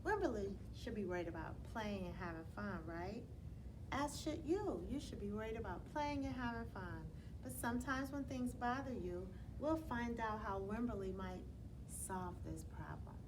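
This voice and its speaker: A woman reading a children's bedtime story aloud in a teacherly, expressive way, putting questions to her young listeners as she goes. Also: mid-range voice, normal speed, background noise. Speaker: elementary school storyteller reading to students.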